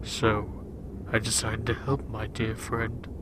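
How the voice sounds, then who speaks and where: Slow, gloating frost villain king played by a man. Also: on the low side, monotone, a bit bright, slight background noise. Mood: mock-sweet, taunting. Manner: slow, theatrical, mock-sweet. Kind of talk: fantasy audio drama acting.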